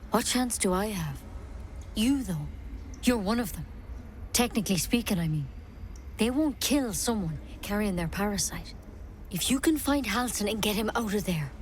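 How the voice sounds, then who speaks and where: Game druid healer, female, played by an actress: grave, earnest, measured, mid-pitched, faint noise behind. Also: natural voice. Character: grave, worried druid healer woman of a grove. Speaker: game character actor. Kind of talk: video game cutscene dialogue.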